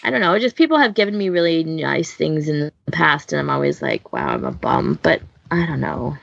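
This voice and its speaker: A woman's voice: low voice, big pitch swings, moderate pace, gravelly, no echo. A woman co-hosting a casual podcast, rambling and hedging in a self-deprecating way. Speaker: podcast host. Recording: dull, dry close recording.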